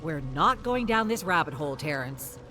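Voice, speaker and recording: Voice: medium pitch, sing-song, normal speed, sharp tone. A narrator doing a curt, stubborn producer. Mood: firm. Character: stubborn male TV show producer. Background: game music.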